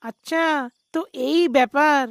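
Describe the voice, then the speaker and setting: A woman's voice: high-pitched, a little slow, no room sound. A woman voicing an earnest young villager in a cartoon, determined and questioning. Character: earnest young villager protecting their people. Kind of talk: animated story dialogue.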